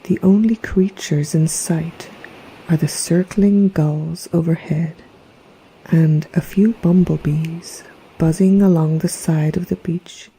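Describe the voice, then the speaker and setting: A woman narrating a guided meditation in a soft, breathy, half-whispered voice with a gentle, soothing, hypnotic manner. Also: deep voice, fairly even intonation, takes it slowly, slight background noise, poor audio. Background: relaxation music.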